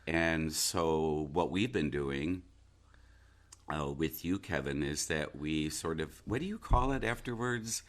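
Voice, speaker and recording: Very deep-voiced, slow-talking man. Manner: halting, with repeated words and false starts. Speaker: stage and radio actor. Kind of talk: casual reflective conversation.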